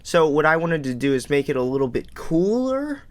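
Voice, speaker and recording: A man's voice: on the high side, big pitch swings, moderate pace. A man casually walking through his music production in a home studio, rambling and self-deprecating with lots of fillers. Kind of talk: casual tutorial walkthrough. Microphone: studio microphone.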